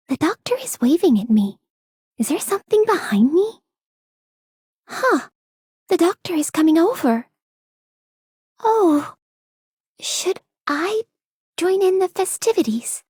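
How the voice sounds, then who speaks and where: A woman's voice: high voice, a little mellow, no echo, studio quality. A woman voicing a shy, hesitant young woman in a video game, speaking tentatively. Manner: tentative, halting. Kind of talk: video game character voice lines.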